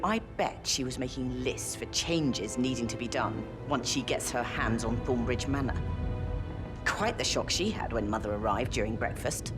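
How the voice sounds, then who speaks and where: An actress playing a brisk, gossipy upper-class British businesswoman in a video game, wry and articulate. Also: extremely low pitch. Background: background music.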